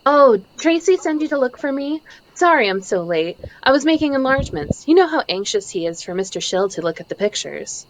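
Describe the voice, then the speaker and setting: A woman's voice: sits high, sing-song, a little fast, few pauses, slight background noise, poor audio. A woman playing a nervous, polite, naive photographer with a hesitant stammer, in old-time radio drama style. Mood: flustered, polite. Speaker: amateur voice actor in live table read.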